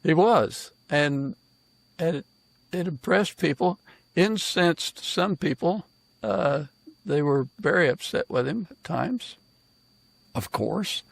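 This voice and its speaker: A man hosting a casual interview podcast, speaking in an informal, halting way. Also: on the high side, expressive, vocal fry, dry recording. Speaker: podcast host and author.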